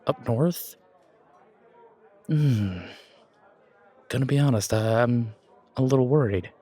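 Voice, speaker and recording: A man's voice: medium pitch, slow, warm tone, breathy edge. A man playing a self-doubting chef in an audio roleplay, with a casual, hesitant, slangy way of talking. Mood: worried. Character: self-doubting male chef, casual dreamer opening his restaurant. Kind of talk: fan audio roleplay acting.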